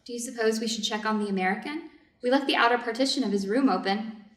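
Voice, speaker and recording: Actress as anxious doctor's wife, concerned, high female voice, reader's theater, echoing room. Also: fast, lightly acted. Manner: concerned, questioning. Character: anxious, devoted Japanese doctor's wife, gentle and questioning. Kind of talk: staged reader's theater drama. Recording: roomy, echoing space.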